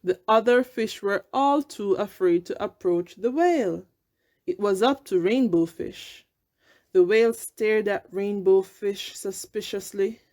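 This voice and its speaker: Slow-paced woman reading a children's storybook. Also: mid-range voice, darkish tone. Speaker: storybook reader. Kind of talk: children's read-aloud narration.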